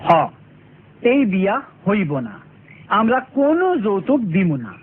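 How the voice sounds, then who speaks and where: A man's voice: high-pitched, sing-song, a little slow, a little hiss or hum. A man voicing a worried, plain-spoken village father in a cartoon, with a Bangladeshi accent. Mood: resolved. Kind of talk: animated cartoon dialogue. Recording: muffled lo-fi cartoon audio, music behind.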